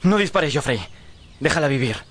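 An actor playing a youthful-faced, haunted gentleman in a radio drama, speaking in polished, theatrical, formal phrases. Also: a little high, lively intonation, brisk. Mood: polite, gentle. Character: Dorian Gray, youthful-faced, haunted, well-bred gentleman. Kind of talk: Spanish-language radio drama acting.

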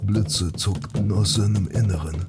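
A man narrates a fantasy audio drama in a very low voice. He reads the scripted story at a slow, measured pace, with loud music underneath.

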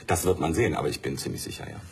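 Deep-voiced man chatting reflectively. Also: very fast, darkish tone, breathy edge. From Germany and Norway. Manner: chatty, reflective, many fillers. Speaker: studio guest. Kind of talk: casual conversation.

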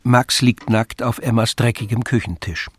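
A man reading scripted narration for an audio drama in a measured storytelling manner. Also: medium pitch, fairly even intonation, husky, dry recording. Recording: music behind the voice.

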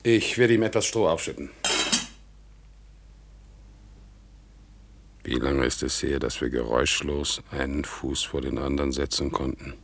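Very deep-voiced melancholy war veteran. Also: male voice, a little fast, vocal fry, slight background noise. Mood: brooding, sad. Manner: plaintive, brooding. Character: melancholy one-legged war veteran, meek husband. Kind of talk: 1960s German radio play acting.

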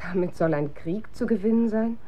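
An anxious wife in a dystopian radio drama , played by a female actor. Her mid-pitched voice is dark and soft in tone, full of hesitant, sceptical questions, over faint background noise. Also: normal speed, dull audio, natural voice. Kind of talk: German radio drama acting.

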